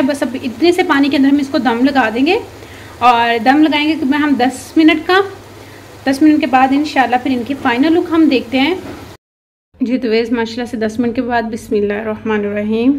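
High-voiced woman cooking at home. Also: a little hiss or hum. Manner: frequent religious blessings, homely instructive. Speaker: cooking channel host. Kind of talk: narrating a home cooking recipe. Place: home kitchen.

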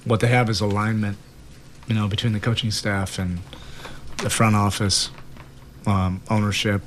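A man's voice: on the low side, level tone, moderate pace, slight background noise. Man coaching, thoughtful postgame answers. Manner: thoughtful, many fillers and repeated words. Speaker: head coach at press conference. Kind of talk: postgame press conference answers. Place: press room in front of sponsor backdrop. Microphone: table mic.

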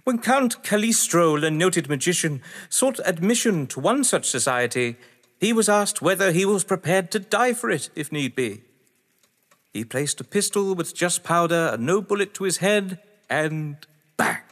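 A man's voice: sits high, expressive, normal speed, a bit bright. A man acting in an audio drama as a witty, haughty husband under questioning, verbose, sardonic and theatrical. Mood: smug. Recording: echoey hall-like reverb.

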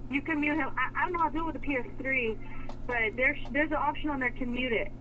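A woman working as a store clerk, patiently and haltingly explaining things on a customer service phone call. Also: high voice, sharp tone. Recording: dull, noisy telephone line.

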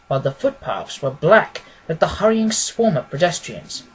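A man's voice: on the high side, a little hiss or hum, poor audio. A man reading scripted literary narration as an audiobook reader.

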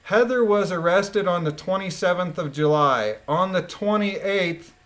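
Male amateur commentator, slightly higher pitch, reads documents aloud with informal, emphatic asides. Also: unhurried. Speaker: commentator, former police officer. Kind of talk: reading a court transcript aloud with informal commentary.

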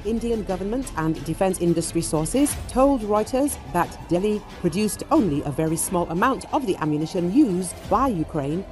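A woman narrates the news in a lower register, keeping a steady, measured newsreader cadence. Faint music plays under her voice-over. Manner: even, measured newsreader delivery. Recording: music bed behind voice-over.

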